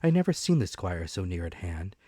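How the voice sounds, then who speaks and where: A man's voice: low-pitched, very animated, a little fast, warm tone, dry recording, studio quality. A man reading a classic adventure story as an audiobook narrator, with dramatic scripted delivery.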